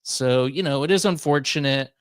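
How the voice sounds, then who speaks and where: A man with a medium-pitched voice talks as a radio co-host in casual conversation. The recording is dry and close, with little room sound. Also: moderate pace, no room sound. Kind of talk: casual chat.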